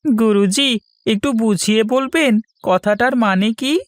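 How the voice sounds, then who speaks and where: A woman's voice: a little high, takes it slowly, no echo. A woman voicing a respectful, eager young village schoolboy in a cartoon. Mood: curious.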